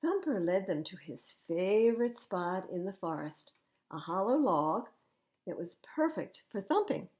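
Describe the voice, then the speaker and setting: An older woman reading picture books aloud as a library storytime host, in an expressive, animated manner. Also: mid-range voice, a little slow. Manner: animated, acting out dialogue.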